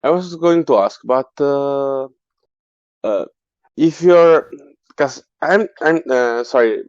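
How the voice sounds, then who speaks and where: Filipino-native guy, halting with lots of ums, smooth voice, dull dry call audio. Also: mid-range voice, a little mellow, no echo. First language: Filipino language. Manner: hesitant, halting, many ums. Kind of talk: casual chat. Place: home, online voice chat.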